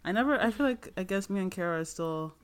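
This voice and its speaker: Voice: mid-range voice, expressive, fast talker, smooth. Young podcast co-host from Nigeria. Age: young adult. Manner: friendly, searching for words. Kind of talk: casual interview chat.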